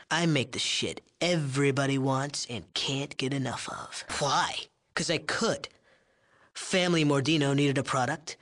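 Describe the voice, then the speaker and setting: A man's voice: medium pitch, fairly bright tone. An American man playing a cocky, sleazy young genius drug chemist in a video game, boastful and crude, with a smarmy wise-guy way of talking. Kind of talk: video game character dialogue.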